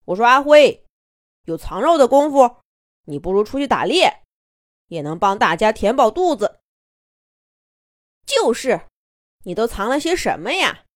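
Lady putting on bickering storybook wolves, loud and riled up, voice jumping high and low, dry sound. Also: big pitch swings, measured pace, long pauses, no echo. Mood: quarrelsome. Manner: loud, raised arguing voice. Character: squabbling pack wolves, adult, quarrelsome.

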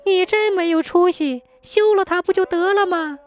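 Woman acting a high-pitched, scolding elderly parent. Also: moderate pace, faint music behind. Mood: angry scolding. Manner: complaining, scolding, wailing. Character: nagging, indignant elderly parents of grown son.

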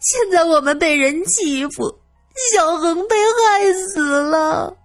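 A woman's voice: expressive, soft background music, heavily acted. A woman playing a bitter, fierce widowed mother in an audiobook, scolding and lamenting with indignation. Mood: wailing grief. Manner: shrill scolding and drawn-out lamenting.

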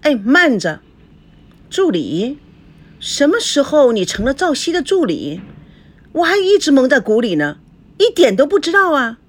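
A woman's voice: sits high, sing-song, measured pace, pauses often, voice pushed up. A woman voicing a jealous, hot-tempered wife in an audiobook drama, sarcastic, accusing and scolding. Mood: suspicious.

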